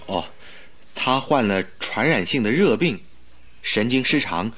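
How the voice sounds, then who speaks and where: An actor playing a polite, loyal doctor in a radio drama, deferential and earnest with an anxious edge. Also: male voice, medium pitch, lively intonation, a little slow, a bit bright, husky, soft background music. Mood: humble, pleading. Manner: courteous, humble, earnest. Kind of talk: Mandarin radio drama acting.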